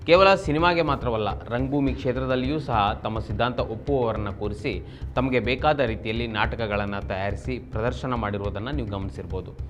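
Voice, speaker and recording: Guy from karnataka narrating a news explainer, formal, mid-pitched voice, faint music behind. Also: normal speed, dull audio. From Karnataka, India. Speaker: presenter of a political explainer.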